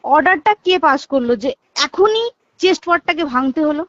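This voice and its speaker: A woman's voice: high voice, animated, a little fast, no room sound. A young woman with a West Bengal Bengali accent talks casually but insistently on a recorded phone call, often asking emphatic rhetorical questions.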